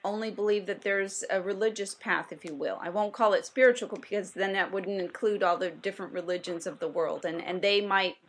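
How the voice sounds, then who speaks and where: A woman hosting a talk show, asking a guest measured questions and murmuring steady agreement as he speaks. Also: medium pitch, slight background noise. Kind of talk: interviewing a guest.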